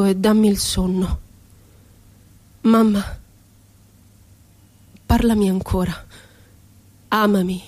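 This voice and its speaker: An actress playing a wounded, ironic woman poet and former asylum inmate in a theatrical radio monologue, with dramatic, deliberate phrasing. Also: medium pitch, warm tone. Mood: pleading, sorrowful. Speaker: stage actress.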